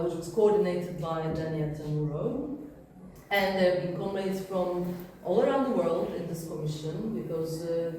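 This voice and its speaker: A woman whose first language is Persian speaks informally to a workshop group, relaxed and full of fillers. Her voice is mellow. It is caught from a distance in a very echoey room. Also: fairly low pitch, slight background noise. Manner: relaxed, explains with many fillers.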